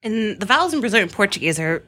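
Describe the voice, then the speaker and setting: A woman's voice: mid-range voice, big pitch swings, fast, pushed and bright. A woman co-hosting a podcast, explaining things in a friendly, casual way. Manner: friendly, explanatory, says y'all. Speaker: podcast co-host.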